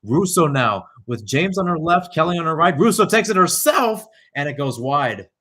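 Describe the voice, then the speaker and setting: A man's voice: a little high, big pitch swings, normal speed, a bit bright. A young man giving live radio-style soccer commentary, moving between calls of play and conversational analysis. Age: young adult.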